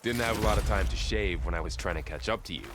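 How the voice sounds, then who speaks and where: Man acting male warrior ally, game character, fairly low pitch, dry humor, reassuring, noisy background. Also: fast talker, close to an everyday voice. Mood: wry, teasing. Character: loyal male warrior companion, easygoing, wryly good-humored.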